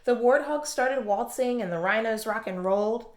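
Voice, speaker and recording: A woman reading a children's storybook aloud like a teacher, expressive and emphatic, addressing young listeners. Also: fairly high pitch, moderate pace. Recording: echoey room.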